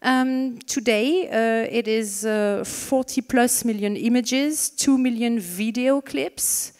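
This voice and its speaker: A woman's voice: fairly high pitch, slow pace, a little mellow. French-accented woman moderating in a hall. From France. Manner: chatty, joking, hesitant with fillers. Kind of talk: stage fireside chat moderation. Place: conference stage in a large hall. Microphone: handheld microphone. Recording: reverberant hall sound.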